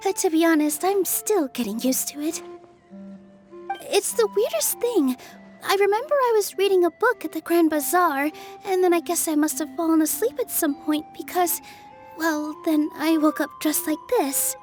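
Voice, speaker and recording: A sweet, earnest young woman speaks in a very high, gentle voice. She sounds soft and a little shy, and faint music sits behind her. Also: normal speed. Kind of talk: video game dub acting.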